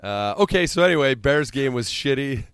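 A man's voice: mid-range voice, expressive, moderate pace, a bit bright, clean tone, no echo, studio quality. A man with a central Illinois accent, hosting a casual sports podcast with exasperated, sweary rambling.

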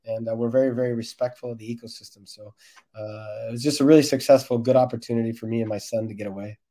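A man's voice: mid-range voice, fairly even intonation, fast talker, dark tone. A man who coaches soccer, answering interview questions in a conversational, explanatory way.

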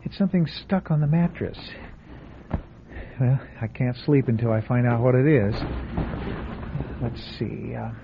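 An actor playing a nervous insurance claim investigator in a classic radio drama, stammering and muttering to himself, heard over a tinny old broadcast recording with faint hiss. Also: male voice, medium pitch, measured pace, vocal fry, long pauses. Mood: uneasy. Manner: jittery, stammering, talking to himself. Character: nervous middle-aged male insurance investigator.

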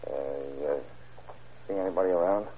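An actor playing a gruff, wisecracking policeman, hard-boiled and halting, in a 1940s style radio crime drama. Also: low-pitched, slight background noise, close to an everyday voice. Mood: wary, bossy. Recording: tinny, muffled old radio recording.

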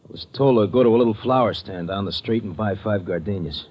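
A man's voice: fairly low pitch, fast talker, natural voice. Man acting a blunt, defiant American pilot. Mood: grudging. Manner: blunt, slangy, defensive. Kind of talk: 1950s radio drama acting. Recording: noisy, muffled old radio recording.

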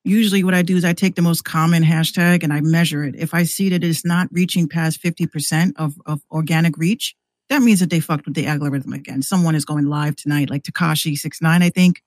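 A woman's voice: deep voice, fairly even intonation, darkish tone, no echo. Woman chatting casually in a dry recording. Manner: slangy, halting delivery with repeated words. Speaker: podcast guest. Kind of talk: casual chat, storytelling.

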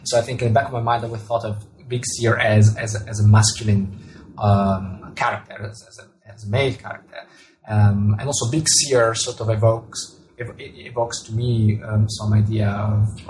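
A man's voice: lowish voice, flat delivery, moderate pace. Italian man, hesitant, over background noise. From Italy. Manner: hesitant, repeats words while searching for phrasing. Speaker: author and astronomer being interviewed. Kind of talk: thoughtful interview answers.

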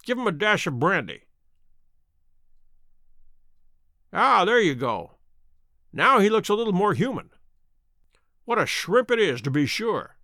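A man voicing a self-assured Victorian detective in an audiobook, measured, stern and dryly mocking. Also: high-pitched, sing-song, bright, forceful tone, dry recording, clean studio sound, exaggerated voice. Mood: quiet authority. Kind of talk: dramatic audiobook character reading.

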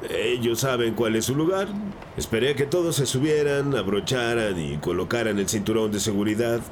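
An actor playing a plain-spoken, cooperative school bus driver answering questions in an audio drama. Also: male voice, medium pitch, moderate pace, lightly acted. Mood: guarded, matter-of-fact. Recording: noisy, vehicle ambience behind.

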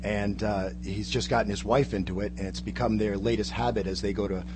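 A man's voice: lowish voice, steady tone, a little fast, over music. A man chatting casually as a co-host and radio fan in a studio. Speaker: guest co-host, old-time radio fan. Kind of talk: casual chat. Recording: clear hum and background noise.

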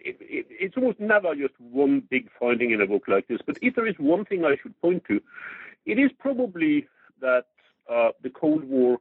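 A man's voice: medium pitch, breathy edge, no room sound. A man in his sixties who grew up in Norway, a historian answering interview questions thoughtfully, often repeating words as he gathers his thoughts. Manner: hesitant, repeats words while thinking. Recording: dry tinny phone-like line.

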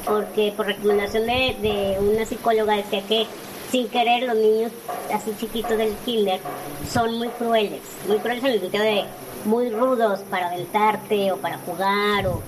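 A woman's voice: medium pitch, fairly even intonation, moderate pace, crowd noise, rough recording. A woman in her forties with a Mexican Spanish accent, telling her personal story conversationally as an interview guest. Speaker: interview guest, author and speaker.

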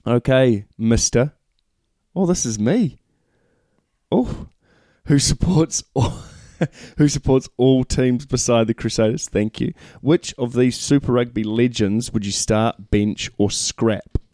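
A man's voice: medium pitch, slow pace, mellow, dry recording, studio quality. A young man co-hosting a casual rugby podcast, joking and laughing with his co-host. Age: young adult. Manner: jokey, laughs often, teases co-host.

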